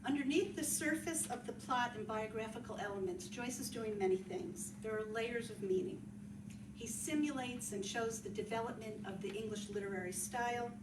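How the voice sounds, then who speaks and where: A woman's voice: on the high side, moderate pace. A woman presenting at a literary reading, explaining things in the style of a talk. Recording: echoey, distant, noisy room recording.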